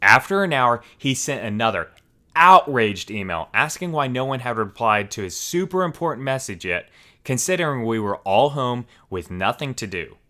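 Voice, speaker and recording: A man hosting a story channel, reading forum posts aloud in a steady, even storytelling manner. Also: medium pitch, moderate pace.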